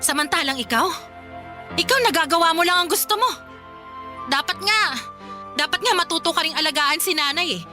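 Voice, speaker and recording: A worn-out, resentful young Filipina daughter in a radio drama. Her voice is high and piercingly bright, emphatic and pleading as she unburdens herself. Faint music sits underneath. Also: brisk, pauses often. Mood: bitter, fed up. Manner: emphatic, pleading, emotional outbursts. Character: exhausted, resentful young daughter fed up with caregiving. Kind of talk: Tagalog radio drama acting.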